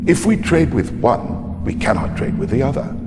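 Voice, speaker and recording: A man's voice: mid-range voice, sing-song, heavy background noise, lightly acted. Man playing a shrewd, theatrical military adviser. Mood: measured, explanatory. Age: middle-aged. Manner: measured, deliberate, theatrical pauses. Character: shrewd, formal older male military adviser, courtly and calculating. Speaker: mission briefer and adviser. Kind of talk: theatrical scripted game cutscene briefing. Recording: long hall reverb under loud music.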